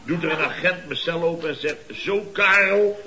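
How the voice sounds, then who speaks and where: A man acting a pompous, excitable middle-aged boss of a building firm in an old radio comedy, exclaiming and repeating himself. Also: fairly high pitch, normal speed. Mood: excited, hearty. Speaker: comic actor in a radio comedy series. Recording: old radio recording with studio audience.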